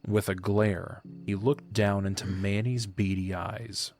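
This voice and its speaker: Low-voiced male fantasy audio drama narrator. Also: steady tone, slow, a little mellow, faint music behind.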